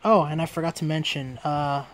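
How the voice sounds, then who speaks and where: A man's voice: a little high, steady tone, normal speed, background noise. A man giving a casual hands-on product demonstration as a reviewer.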